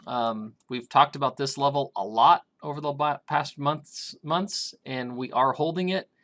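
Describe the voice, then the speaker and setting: A middle-aged man with a raspy voice, a grain market consultant giving casual commentary over charts, pausing often with ums and restarts. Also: mid-range voice, fairly bright tone. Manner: hesitant, many ums and restarts.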